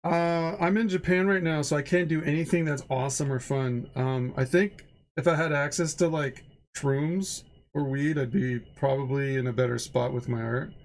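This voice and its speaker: A man's voice: fairly high pitch, fairly even intonation, moderate pace. A man with a stuffed-up, nasal voice chatting casually and rambling while he draws on a livestream, swearing now and then. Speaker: art streamer.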